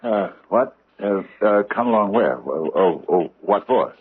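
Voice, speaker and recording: An actor playing a pompous, stammering older judge with a killer's streak, in old-time radio drama style. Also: male voice, sits low, animated, unhurried, breathy edge, heavily acted. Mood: flustered. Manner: stammering, blustering, theatrical. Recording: muffled, noisy old radio recording.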